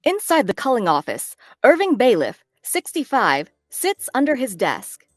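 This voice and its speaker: Casting: woman narrator for script read, medium register, expressive range, music underneath. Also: fairly high pitch, measured pace, no room sound, clean studio sound. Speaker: narrator reading stage directions.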